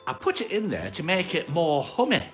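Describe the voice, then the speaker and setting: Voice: mid-range voice, huge pitch swings, normal speed, faint music behind, pitched above the performer's own voice. A storyteller voicing a cheerful, muddled toy bear, earnest and singsong, from a children's storybook.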